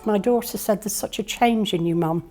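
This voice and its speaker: An older woman speaks in a mellow, even-pitched voice. She is chatty and down-to-earth, using plain, everyday phrasing. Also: normal speed. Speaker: singing group participant. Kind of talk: casual interview testimony.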